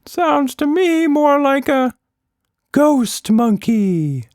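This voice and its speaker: An odd, excitable little storybook monster. The voice is squeaky and soars extremely high, with words stretched slowly. It sounds cartoonish and friendly. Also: male voice, dry recording, clean studio sound, full character voice. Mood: puzzled.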